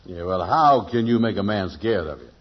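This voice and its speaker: A man's voice: lowish voice, expressive, normal speed. A man playing an easygoing, skeptical sidekick friend in a 1940s radio sitcom. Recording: muffled vintage radio recording.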